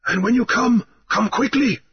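A man's voice: very high-pitched, moderate pace, husky, highs cut off, voice pushed up, full character voice. A man voicing a breathless island guide who urges his friend to flee. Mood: urgent. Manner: breathless, urgent.

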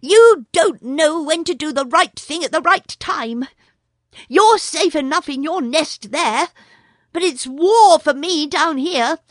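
A woman's voice: high-pitched, animated, dry recording, pitched above the performer's own voice. A woman voicing a brave young male mongoose who speaks sharply and impatiently. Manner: brisk, sharp and scolding.

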